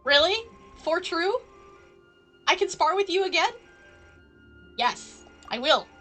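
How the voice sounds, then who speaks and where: A woman live streamer giving playful, deliberate, mock-solemn commentary over a video game. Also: very high-pitched, lively intonation, bright, forceful tone, faint music behind.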